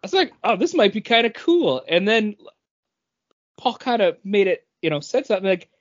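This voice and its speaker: A man's voice: high voice, big pitch swings, moderate pace. A man co-hosting a podcast, casually discussing comic books. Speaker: podcast co-host. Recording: dull, lo-fi podcast sound.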